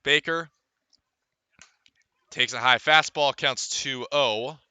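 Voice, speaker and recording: A man giving live radio play-by-play of a baseball game, calling it in measured, deliberate phrases with pauses. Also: mid-range voice, bright, forceful tone, smooth, no room sound. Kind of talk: live radio sports commentary.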